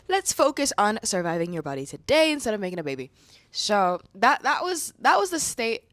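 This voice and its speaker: A woman vlogger with a slightly raspy voice, chatting candidly to camera in slangy, casual speech. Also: mid-range voice, a bit bright, no echo. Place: room indoors.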